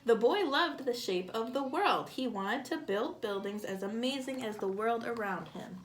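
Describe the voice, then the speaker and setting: A woman reading a picture book aloud to children, expressively and with deliberate phrasing, as a storyteller. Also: on the high side, animated.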